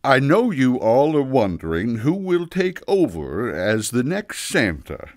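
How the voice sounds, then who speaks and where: A man playing a weary, loving father figure, the Christmas leader, speaking in halting, deliberate announcements in a storybook tale. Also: mid-range voice, huge pitch swings. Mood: solemn, deliberate.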